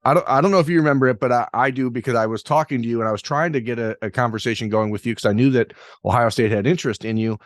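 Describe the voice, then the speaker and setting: A man's voice: mid-range voice, racing, hardly any pauses, dry recording, studio quality. A man hosting an interview podcast, asking long, rambling questions with self-deprecating asides. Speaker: podcast host.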